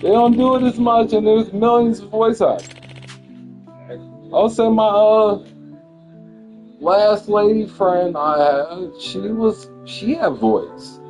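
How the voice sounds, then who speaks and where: A man's voice: high voice, slow pace, a little mellow, soft background music, rough recording. A young man casually chatting and swearing while playing a video game, rambling in a laid-back way. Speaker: gamer playing on stream. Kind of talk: casual chat while gaming.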